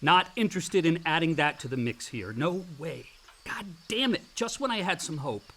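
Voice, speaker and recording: A man's voice: a little high, animated, normal speed, a bit bright, slight background noise. A man acting a bitter, hot-tempered young adult son in a naturalistic radio play, blunt and sarcastic. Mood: angry.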